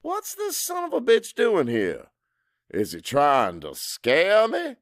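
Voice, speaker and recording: A man's voice: high-pitched, huge pitch swings, slow, dry recording, clean studio sound, voice pushed up, exaggerated voice. Man acting a brash, swooping, crude salesman. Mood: scornful, indignant. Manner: brash, scornful. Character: crude, cocky salesman, macho, resentful, foul-mouthed. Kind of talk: first-person dramatic audiobook narration.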